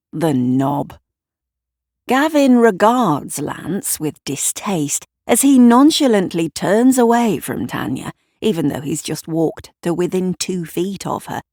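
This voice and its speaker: A woman reads scripted story narration in a slow, somewhat low voice. She slips into wry, conversational asides as she tells the tale. The sound is dry, with little room around it. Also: darkish tone, no room sound. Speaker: writer and narrator of audio drama.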